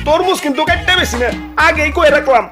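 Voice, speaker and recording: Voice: high voice, fast talker, natural voice. A performer voicing a cunning, pushy watermelon seller in a comedy cartoon, with brazen, sing-song hawker calls. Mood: wary, annoyed. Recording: music behind the voice.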